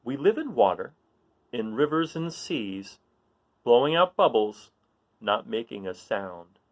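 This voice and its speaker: A man reading a children's picture book aloud in an informal, expressive way, putting questions to young listeners and encouraging them. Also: medium pitch, measured pace, muffled recording. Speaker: read-aloud storyteller.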